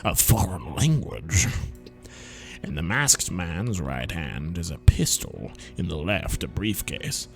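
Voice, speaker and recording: A man's voice: sits low, sing-song, slow pace, vocal fry, faint music behind. A man reading a visual novel aloud to friends, playful and slipping in whispered joke asides. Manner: playful, drops whispered running-gag asides.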